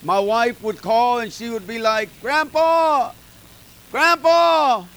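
A man's voice: very high voice, slow, fairly bright tone. A middle-aged man preaching an informal sermon to a small group, folksy and emphatic, talking straight to his listeners. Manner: folksy, emphatic, talks to the congregation directly. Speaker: pastor.

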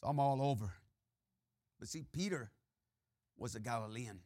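A man with a Mexican-Texan accent preaching a sermon, full of "uh" and "amen" fillers, swinging between loud preaching and quiet asides. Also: medium pitch, moderate pace, no echo, clean studio sound. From Mexico and Texas.

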